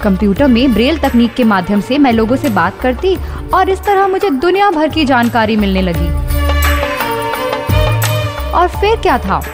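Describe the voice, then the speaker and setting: A woman's voice: fairly high pitch, lightly acted. A woman playing a confident blind woman who tells her life story in scripted radio narration.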